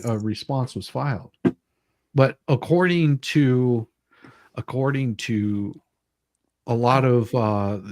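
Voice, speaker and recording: A man hosting a livestream with casual chat and commentary, repeating phrases and trailing off mid-sentence as he rambles. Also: medium pitch. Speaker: livestream host.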